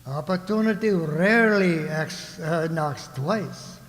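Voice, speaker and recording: Pompous onion ruler, high voice, unhurried, courteous menace, theatrical radio play, long reverb. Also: male voice, fairly bright tone. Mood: pompous, persuasive. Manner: pompous, mock-genteel menace. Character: scheming old onion ruler, smooth, courteous tyrant. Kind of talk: theatrical radio drama acting. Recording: echoey hall with long reverb.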